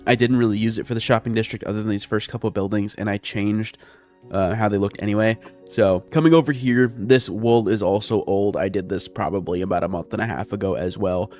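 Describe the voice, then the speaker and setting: A man's voice: on the low side, fairly quick, darkish tone. A man giving casual, chatty gameplay commentary, explaining his plans step by step as a video creator speaking into a close mic. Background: background music.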